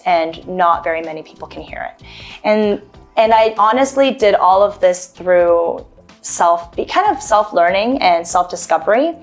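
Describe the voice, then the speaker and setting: A woman from Toronto, an actor chatting loosely as a guest, giving advice with many ums and restarts. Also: on the low side. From Toronto, Canada. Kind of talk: casual interview advice.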